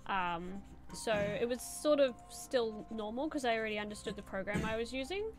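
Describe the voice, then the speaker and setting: A woman's voice: a little high, expressive, normal speed, faint music behind. A young adult woman chatting casually while she draws on a live stream, answering chat with many "like" and "um" fillers. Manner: rambling, full of "like" and "um" fillers. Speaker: streamer drawing and reading chat.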